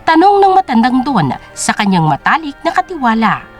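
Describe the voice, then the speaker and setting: A female narrator with a high-pitched voice and very animated intonation. She tells a scripted dramatic story as an audio drama storyteller, with faint music playing behind her. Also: moderate pace.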